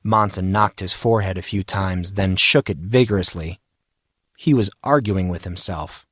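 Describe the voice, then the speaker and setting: Guy reading an audiobook story, expressive and measured, really dry sound. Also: low voice, no echo. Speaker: audiobook narrator. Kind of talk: scripted story narration.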